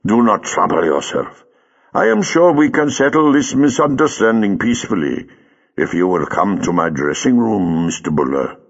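A man voicing a suave, calm male stage hypnotist who speaks in a smooth, soothing way. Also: on the low side, a little slow, dry recording, muffled recording, full character voice.

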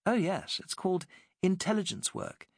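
A man voicing a poised British woman working as a secret agent, speaking crisply and persuasively. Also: high voice, big pitch swings, moderate pace, no echo, clean studio sound, voice pushed up, full character voice.

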